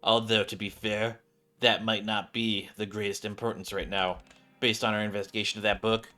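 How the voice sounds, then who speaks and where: A man's voice: fairly low pitch, level tone, normal speed, bright, forceful tone. A man streaming a video game, reading its story dialogue aloud and now and then stumbling and rereading. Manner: stumbles over words and rereads. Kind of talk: gaming playthrough, dramatic read-aloud of story text. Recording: game music behind. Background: video game music.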